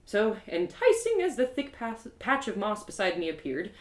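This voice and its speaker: A woman narrates a story with theatrical expression, hosting a live reading. Her voice sits at an average female pitch. The room around her is noticeably echoey. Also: big pitch swings, moderate pace. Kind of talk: animated first-person storybook narration.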